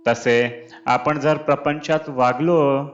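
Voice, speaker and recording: A man reads a scripted spiritual discourse aloud in measured, deliberate phrases. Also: mid-range voice, fairly even intonation, lo-fi sound. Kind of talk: scripted devotional discourse reading. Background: clear background music.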